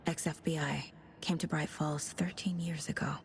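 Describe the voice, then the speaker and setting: An actress playing a composed, inquisitive FBI agent in a video game, measured and pensive. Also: female voice, deep voice, fairly even intonation, vocal fry, a little hiss or hum. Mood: pensive, hushed. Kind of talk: video game dialogue and brooding inner monologue.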